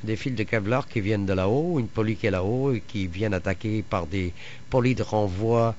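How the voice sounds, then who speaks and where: Male radio hobbyist, low register, rambling and halting casual explainer, muffled lo-fi sound with slight noise. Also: darkish tone. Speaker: amateur radio operator. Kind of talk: casual radio contact chat about home-built antennas. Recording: dull lo-fi radio audio, faint noise.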